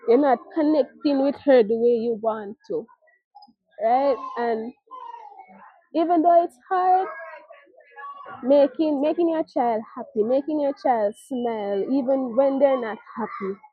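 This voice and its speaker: Through thin, phone-like audio, a young woman with a Caribbean accent tells her own story. Her voice sits a little high and is somewhat husky. She speaks earnestly and haltingly, circling back over phrases as she goes. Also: no echo. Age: young adult. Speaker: mother telling her life story. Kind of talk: personal testimony. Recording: muffled, narrow phone-like audio.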